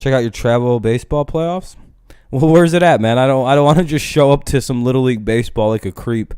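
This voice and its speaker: A man's voice: mid-range voice, a little fast, darkish tone, clear, resonant tone, no room sound, clean studio sound. A man in his twenties chatting casually on a livestream, rambling and profane, often stopping to restart his sentences. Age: 20s. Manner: rambling, profane, self-interrupting. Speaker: livestream host.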